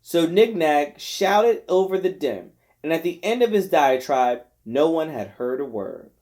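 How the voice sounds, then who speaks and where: A man narrating a novel aloud in an expressive storytelling style with deliberate phrasing. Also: a little high. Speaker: volunteer reader of a novel. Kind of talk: scripted audiobook narration.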